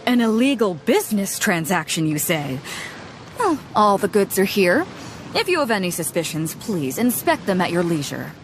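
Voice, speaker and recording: A woman voicing a confident, easygoing female ship captain in a video game cutscene, speaking in a relaxed, knowing way. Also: mid-range voice, normal speed. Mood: sly. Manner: relaxed, knowing, laughs often. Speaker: fleet captain. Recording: game music under the voice.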